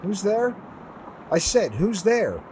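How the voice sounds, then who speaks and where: An actor playing a lonely, grieving widower who muses wearily to himself in an audio drama. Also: male voice, sits high, very animated, slow pace, background noise, full character voice. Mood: alarmed. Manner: talks to himself, reflective.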